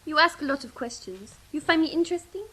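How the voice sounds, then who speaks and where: An actress playing a shifty, cool cook with secret plans in a comic audio drama, evasive and teasing. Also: female voice, sits high, lively intonation, fast, pushed and bright.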